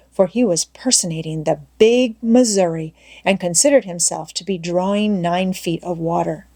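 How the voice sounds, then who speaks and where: A woman narrating an audiobook, reading a scripted story aloud in a measured, expressive way. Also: mid-range voice.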